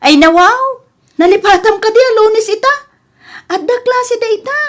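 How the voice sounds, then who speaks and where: A woman playing an indignant, hurt but firm wife and mother in a radio comedy drama, sharp-tongued, with an Ilocano accent. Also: very high-pitched, expressive, moderate pace. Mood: firm, dismissive.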